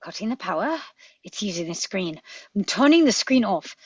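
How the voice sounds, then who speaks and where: Woman playing a husky, animated adventurer doctor. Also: mid-range voice, normal speed, no echo, highs cut off. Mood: urgent. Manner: urgent, commanding. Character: quick-thinking, urgent, commanding adventurer doctor. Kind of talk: script table read.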